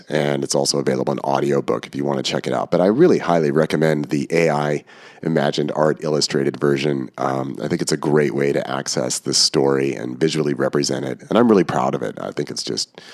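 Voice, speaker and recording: A man with a very low voice hosts a podcast. He talks informally and chattily. There is a slight stuffed-up sound, as if he has a cold. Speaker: podcast host. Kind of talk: casual podcast monologue and interview hosting.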